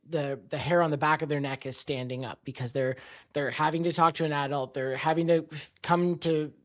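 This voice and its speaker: A man hosting a podcast, explaining ideas thoughtfully and often checking in with his co-host. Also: fairly high pitch. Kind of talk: conversational podcast talk.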